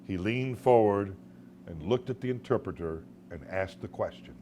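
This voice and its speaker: A man reading his own short story to a live room as a dramatic storyteller, with deliberate pauses and occasional stumbles. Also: lowish voice, dark tone. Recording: live room recording with background noise.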